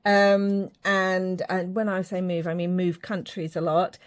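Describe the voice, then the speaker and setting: A woman's voice: fairly low pitch, little pitch movement, unhurried. A woman from Ireland, talking casually to her viewers while she works on a craft project, rambling a little and poking fun at her own drawing skills. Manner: chatty, self-deprecating, rambling with fillers. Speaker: crafting vlogger. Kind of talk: casual craft tutorial chat.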